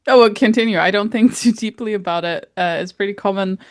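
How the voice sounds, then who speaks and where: A woman's voice: mid-range voice, fairly quick, no echo, clean studio sound. A woman co-hosting a casual podcast, telling stories in a rambling, wry way with lots of fillers. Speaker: podcast co-host. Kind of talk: casual chat.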